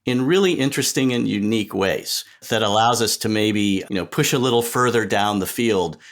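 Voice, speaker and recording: A middle-aged or older man giving thoughtful, articulate interview answers as a podcast guest, in measured, reflective phrasing. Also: fairly low pitch. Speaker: podcast guest, sonic strategy expert.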